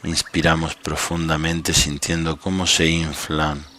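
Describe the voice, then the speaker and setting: Very deep-voiced man guiding meditation slowly. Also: a little hiss or hum. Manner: calm, unhurried, leaves long pauses. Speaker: meditation coach. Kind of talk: guided meditation, slow spoken instructions.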